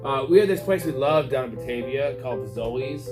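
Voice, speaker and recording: A man's voice: mid-range voice, animated, quick pace, over music. A man hosting a sports podcast, chatting and telling stories casually in sweary, slangy buddy talk. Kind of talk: casual chat and storytelling.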